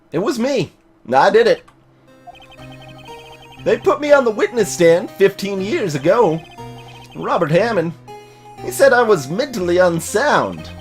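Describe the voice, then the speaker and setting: Man acting a bitter, vengeful old man. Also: high voice. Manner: dramatic, bitter, deliberate.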